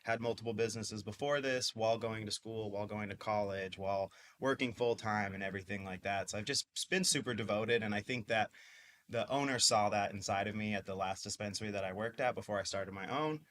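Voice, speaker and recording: A man's voice: fairly low pitch, fairly even intonation, fairly quick, a bit bright. A man in his twenties, a podcast guest, talking in an upbeat, rambling way with lots of fillers. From Mississippi. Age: 20s. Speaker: guest, dispensary owner. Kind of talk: casual interview talk.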